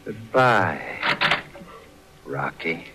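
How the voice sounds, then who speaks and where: Cold, slow-spoken gloating avenger. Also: male voice, low-pitched, big pitch swings, exaggerated voice. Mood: quiet triumph. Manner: taunting, contemptuous, deliberate. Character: cold, gloating avenger, brother of a murdered man. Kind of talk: 1940s radio drama acting. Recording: faintly noisy old radio recording.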